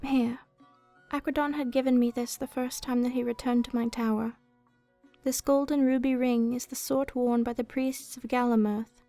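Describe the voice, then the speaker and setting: An ancient female dragon, played by a woman in a gentle ASMR fantasy roleplay, with a high, mellow voice. She speaks wistfully in formal, storybook phrases, keeping her melody very even over background music. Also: no echo. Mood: gentle, solemn.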